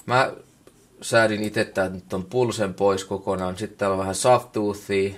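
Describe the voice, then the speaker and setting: Finnish man, low pitch, casual tutorial, colloquial, unscripted, muttered asides, camera mic. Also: steady tone. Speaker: music production tutorial maker. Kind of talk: casual unscripted software walkthrough. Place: indoors at a computer workstation. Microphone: camera microphone.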